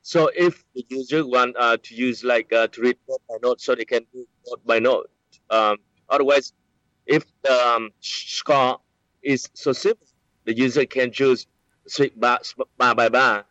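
A man's voice: medium pitch, steady tone, unhurried, a bit bright, no room sound. Hesitant man, dull lo-fi sound. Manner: hesitant, many fillers and restarts. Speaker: app developer, interviewee. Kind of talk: explaining, casual interview.

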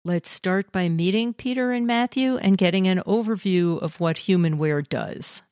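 A woman co-hosting a podcast, reading intros and sponsor notes in a measured, announcing way. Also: mid-range voice, music in the background. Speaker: podcast co-host. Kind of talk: scripted host reading.

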